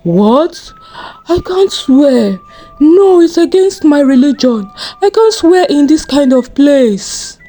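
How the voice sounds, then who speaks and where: A woman's voice: sits high, very animated, takes it slowly, mellow, faint music behind, voice pushed up, full character voice. A woman voicing an eager, naive young man in a folktale, exclaiming and pleading. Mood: shocked, afraid.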